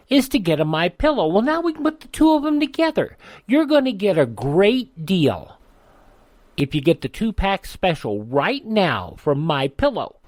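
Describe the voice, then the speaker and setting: An American man hosting radio, delivering a host-read sales pitch. He sounds folksy and salesy, recorded dry with no room sound. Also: darkish tone, no echo.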